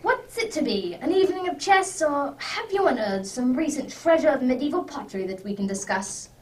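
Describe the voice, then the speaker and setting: A woman plays a hearty gentleman detective in a radio drama, speaking high and with big swings of pitch. The manner is exclamatory and courteous, heard slightly off the mic with some faint noise. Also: sing-song, brisk, recorded from a distance. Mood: amused, teasing.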